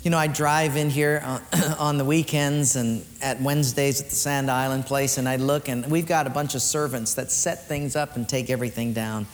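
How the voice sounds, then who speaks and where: A man's voice: mid-range voice, steady tone, moderate pace, hardly any pauses, a little hiss or hum. Earnest preacher man in echoing hall. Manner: earnest, emphatic preaching that swings between lifted and hushed. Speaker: pastor. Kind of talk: sermon ending in prayer. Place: church.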